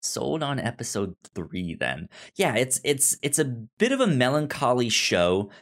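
A man hosting a podcast in casual discussion, speaking haltingly and often stammering over words and sounds. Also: mid-range voice, very animated, a bit bright. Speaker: podcast host.

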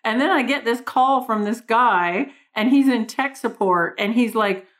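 Canadian woman, podcast guest; energetic storyteller who laughs easily. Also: fairly high pitch, unhurried. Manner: energetic storyteller, laughs often, quotes people in voices. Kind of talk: podcast interview.

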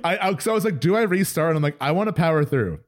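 A man's voice: fairly high pitch, lively intonation, very fast. A man co-hosting a podcast, discussing video games in a conversational, enthusiastic way. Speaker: podcast co-host. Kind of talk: casual video game discussion.

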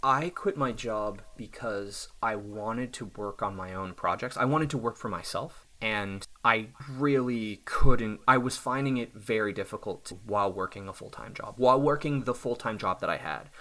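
Low-voiced man reflecting on his work. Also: moderate pace, hardly any pauses, bright, forceful tone. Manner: thoughtful, reflective, with restarts and small laughs. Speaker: self-employed software developer. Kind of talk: casual podcast conversation.

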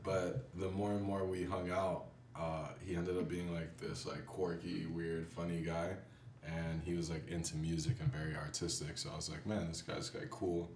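Man, deep voice, casual halting storyteller, roomy echo, slightly distant mic, faint noise. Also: level tone, a little mellow. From born in Haiti. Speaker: podcast guest, music producer. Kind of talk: casual chat.

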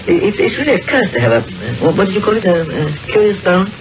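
An actor playing a curious male doctor with a macabre imagination, speaking in a measured, musing way in a 1940s radio drama. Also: high voice, sing-song. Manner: measured, musing storyteller's delivery. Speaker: Doctor McGregor, an amateur sleuth. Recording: dull old radio broadcast with music.

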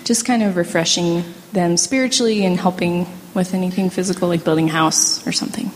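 A woman's voice: lowish voice, steady tone, a little fast. A woman casually explaining an idea to a group as a discussion participant. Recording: echoey hall, faint noise.

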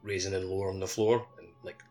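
A man's voice: low voice, flat delivery, fast talker, darkish tone, faint music behind. A man co-hosting a casual video game podcast over a voice call, rambling and tentative in his phrasing. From Scotland. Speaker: podcast co-host. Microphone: voice call over the internet.

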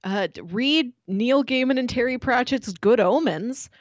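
A woman's voice: on the high side, expressive, unhurried, no room sound, lo-fi sound. A woman co-hosting a podcast, chatting casually as she reviews and recommends. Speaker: podcast co-host.

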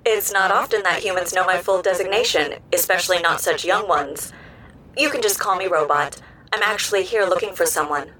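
An actress playing a courteous, helpful community health robot in an audio drama, speaking precisely and formally. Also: female voice, fairly low pitch, brisk, pushed and bright, a little hiss or hum, poor audio. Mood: polite, reassuring. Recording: music under the voice.

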